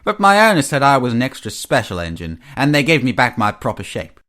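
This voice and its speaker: Storybook express engine, male, friendly, proud, emphatic, animated, read by a man. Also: medium pitch, fairly quick. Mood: chatty, proud.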